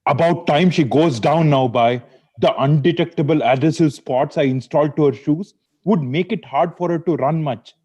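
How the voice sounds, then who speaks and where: A man's voice: a little high, moderate pace, a little mellow, husky. A man reading the part of a shifty, deferential hired crook in a play script, over a video call. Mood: explaining. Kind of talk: table read of a play script. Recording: dull lo-fi video call audio.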